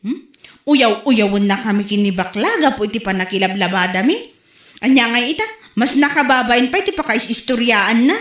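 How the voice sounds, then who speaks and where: Babaeng mataas ang boses, nagtsitsismis. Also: female voice, fairly high pitch, lively intonation, moderate pace, fairly bright tone, reverberant. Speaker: actor in comedy drama. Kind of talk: comedic audio drama acting, gossiping.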